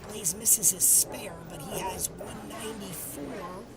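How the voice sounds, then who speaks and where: A man calling live bowling play-by-play as tournament director, calm and matter-of-fact while getting over a cold. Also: high-pitched, slow pace, a loud crowd. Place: bowling alley. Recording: distant mic with loud background noise.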